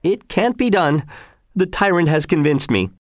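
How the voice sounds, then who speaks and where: A man voicing a pompous, self-important Swiss gentleman who lectures his friends. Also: on the high side, big pitch swings, moderate pace, no room sound, studio quality, voice pushed up. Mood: rueful. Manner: pompous, fussy.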